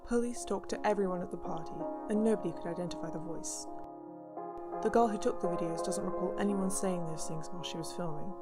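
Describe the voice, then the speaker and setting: A serious mystery-show host played by a woman, with a fairly low voice. She narrates in a measured, ominous way over prominent music. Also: natural voice. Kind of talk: scripted narration in a radio drama. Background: music under the voice.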